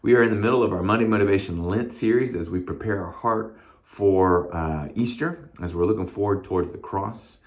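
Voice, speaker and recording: A male pastor speaks with a hoarse voice that sits very low. His delivery is relaxed and conversational, full of fillers and restarts. He sounds like he is chatting to his congregation rather than preaching from a pulpit. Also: fairly quick. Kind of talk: short devotional message.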